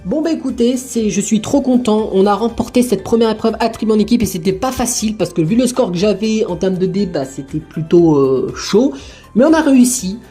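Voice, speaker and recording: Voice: mid-range voice, lively intonation, brisk, warm tone, soft background music, close to an everyday voice. Tom, someone voicing a rambling young man. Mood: relieved. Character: young man, 21, streamer and narrator. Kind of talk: homemade toy-figure adventure show.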